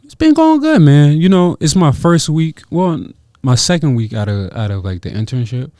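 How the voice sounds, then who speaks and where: A young man co-hosting a podcast in casual, slangy, animated chat. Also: on the high side, moderate pace, no echo, clean studio sound. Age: young adult. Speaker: podcast co-host.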